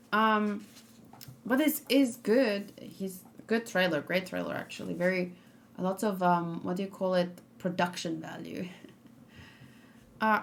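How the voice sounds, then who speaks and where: A woman's voice: mid-range voice, slight background noise. A young adult woman chatting casually on a livestream as she reacts to a game trailer, frank and rambling, with lots of fillers and restarts.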